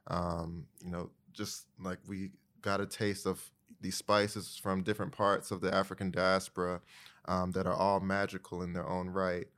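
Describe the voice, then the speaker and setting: An American man from North Carolina speaks as a podcast guest. His voice is deep and stays very even in pitch, and he talks in a thoughtful, conversational way. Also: moderate pace, smooth. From Greensboro, North Carolina, USA.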